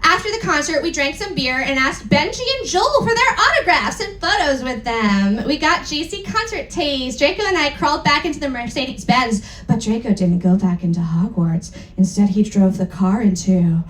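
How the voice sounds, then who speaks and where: A woman's voice: high-pitched, expressive, normal speed, few pauses, a bit bright. A woman giving a comedic dramatic reading of a story to a live audience in a theatrical, amused manner. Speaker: performer reading fan fiction aloud. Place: indoor live event venue. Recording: echoey hall, distant mic, background noise.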